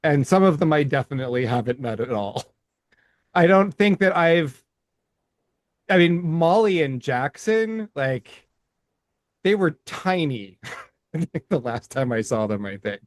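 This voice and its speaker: A middle-aged man co-hosting a casual podcast, speaking in halting phrases with many restarts and stammers. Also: fairly high pitch, lively intonation, dry recording. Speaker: podcast co-host. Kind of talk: casual chat.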